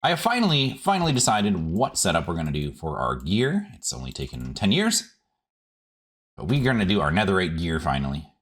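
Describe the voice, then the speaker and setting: Low-voiced man with very animated, swooping pitch. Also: a little fast, husky. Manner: playful, talks to himself, sprinkles silly words and sing-song asides. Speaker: gaming host. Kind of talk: casual game commentary, let's play.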